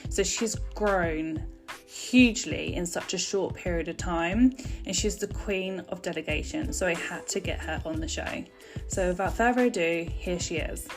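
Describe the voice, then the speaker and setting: A woman's voice: fairly low pitch, moderate pace. A bubbly woman from the UK hosts a podcast in a medium voice. Her enthusiastic chat sits over a faint music bed. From United Kingdom. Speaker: podcast host. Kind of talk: casual interview chat.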